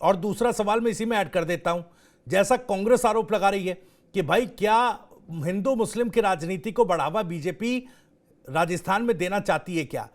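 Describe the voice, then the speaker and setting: A man's voice: high voice, moderate pace. A male news anchor asking pointed, direct questions in a televised debate.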